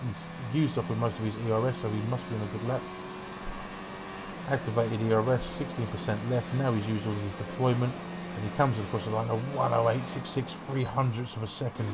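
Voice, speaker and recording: A man giving relaxed, rambling commentary on an online racing qualifying session. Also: mid-range voice, fairly quick, heavy background noise. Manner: relaxed, rambling, self-deprecating asides. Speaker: race commentator.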